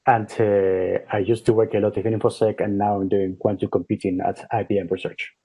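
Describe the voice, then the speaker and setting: Low husky Argentine man. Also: little pitch movement, brisk, dark tone. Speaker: quantum computing researcher and co-presenter. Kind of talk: conference self-introduction.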